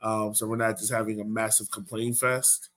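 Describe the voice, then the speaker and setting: A man's voice: on the low side, little pitch movement, moderate pace, a bit bright. A man hosting a sports podcast, chatting casually and informally. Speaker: podcast host.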